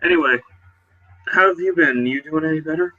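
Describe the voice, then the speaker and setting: Bright-voiced man improvising a tabletop role-play. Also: on the high side, dull audio. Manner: halting, conversational narration. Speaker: role-playing game player. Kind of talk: narrating and improvising in character.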